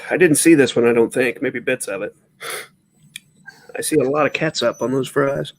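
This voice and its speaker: A man with a high, nasal speaking voice that sounds stuffed up and congested talks casually on a stream. He agrees readily with quick yeahs, and his voice sits close and dry, without any room sound. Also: no echo. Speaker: stream host.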